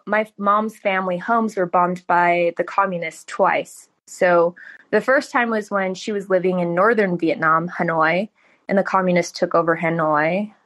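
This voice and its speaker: A woman's voice: on the low side, a bit bright. A woman in her thirties from California telling her life story as a podcast guest. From California, USA. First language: Mandarin Chinese. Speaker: podcast guest, startup founder. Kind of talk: conversational interview storytelling.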